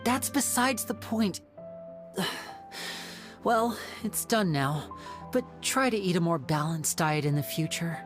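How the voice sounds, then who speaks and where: An actor playing a composed young male stage magician in a video game, with a measured, caring older-brother manner. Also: high voice, animated, music in the background. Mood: gently chiding. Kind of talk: English video game voice acting.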